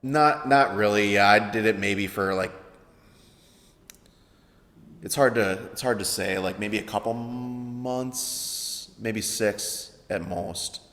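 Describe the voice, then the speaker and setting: A man in his thirties with a baritone voice, answering interview questions in a hesitant way with many fillers. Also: gravelly, fairly bright tone. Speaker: interview guest, veteran songwriter. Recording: echoey room with long reverb.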